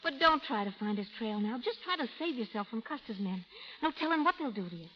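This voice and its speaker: A woman playing a tough, lovesick frontier woman in an old radio drama, with rough, scolding Western speech. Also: a little high, lively intonation, fast. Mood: urgent. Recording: muffled old radio recording with music.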